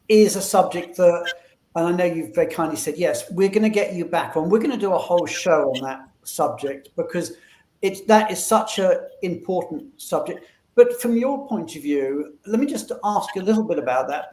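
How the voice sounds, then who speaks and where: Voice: medium pitch, darkish tone, reverberant. A host asking long, rambling questions with many restarts in an online interview.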